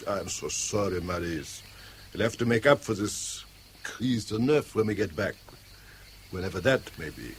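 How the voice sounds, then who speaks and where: An actor in a vintage British radio drama playing a suave, evasive wealthy man, urbane and smoothly polite. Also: fairly low pitch, lively intonation, moderate pace. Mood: coolly apologetic. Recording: faintly hissy vintage radio drama recording.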